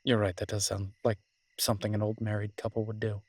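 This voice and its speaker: Low, husky, tender werewolf boyfriend played by a man. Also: completely flat delivery, brisk, a little mellow, dry recording. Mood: warmly teasing. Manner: hesitant, tender, conversational, lightly teasing. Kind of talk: romantic roleplay audio, intimate casual conversation.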